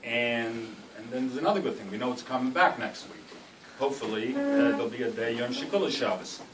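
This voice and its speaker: A man with a cold giving a rambling, self-deprecating farewell speech, full of halting restarts. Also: mid-range voice, sing-song. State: has a cold. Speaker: speaker at a farewell party. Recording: roomy hall, distant mic, noisy.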